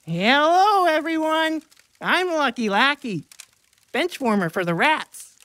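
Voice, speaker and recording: A woman plays a scrawny teenage boy in an audiobook story, pleading and full of self-pity. He speaks slowly and dramatically, in a very dry recording. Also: high voice, sing-song, fairly bright tone, no echo, voice pushed up, heavily acted. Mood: gushing, friendly. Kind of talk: audiobook character acting.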